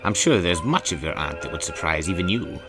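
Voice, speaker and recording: A man as a mysterious gentleman, low voice, measured, courteous, quietly menacing, horror audio story, music behind. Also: sing-song. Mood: knowing, sinister. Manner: measured, formal, knowing.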